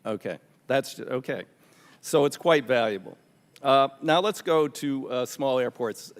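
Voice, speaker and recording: Skeptical American man in a reverberant hearing room. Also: mid-range voice. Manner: halting, skeptical, pressing. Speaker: legislator questioning witnesses at a hearing. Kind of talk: off-the-cuff questioning and argument at a legislative hearing. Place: large indoor hearing room.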